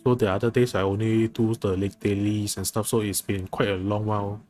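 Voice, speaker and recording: A man giving casual, somewhat halting commentary while livestreaming a video game. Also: on the low side, little pitch movement, a little mellow. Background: faint game music.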